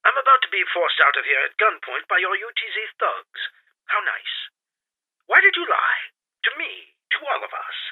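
A man playing a bitter, betrayed captive in an audio drama, speaking accusingly with dramatic pauses through a transmission filter. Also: high-pitched, wildly swooping pitch, breathy edge, full character voice. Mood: bitter reproach. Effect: phone-like transmission filter. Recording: thin, band-limited recorded message sound.

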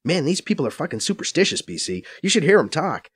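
A man's voice: on the high side, fast, breathy edge, pitched above the performer's own voice, exaggerated voice. A man voicing a brash, profane female undercover agent who teases and swears freely, in an audiobook. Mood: wry. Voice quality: raised, lively, cocky voice. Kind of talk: audiobook character voice.

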